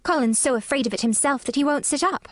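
An eager young English girl from a storybook, as a woman reader puts her on. Her voice is high and sits in a dry, echo-free recording. She speaks properly and very earnestly. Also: quick pace, lightly acted. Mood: worried. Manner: proper, earnest child's diction.

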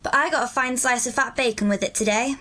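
A woman voicing a bright, hearty Yorkshire country boy. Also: on the high side, fast talker, voice pushed up. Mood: friendly. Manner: broad dialect, warm and hearty. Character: cheerful kindly country boy, gentle and friendly. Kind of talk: audiobook character reading.

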